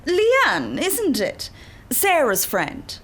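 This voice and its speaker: A sociable woman in a radio play, polite and breezy. Her slightly high voice swings up and down in pitch, and she takes her time. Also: huge pitch swings, very slow, a bit bright, full character voice. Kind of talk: naturalistic radio drama acting.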